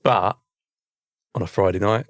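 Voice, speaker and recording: A man's voice: on the low side, measured pace, dry recording. A British man chatting casually as a podcast co-host, with a dry, teasing way of talking. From Britain. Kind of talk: casual chat.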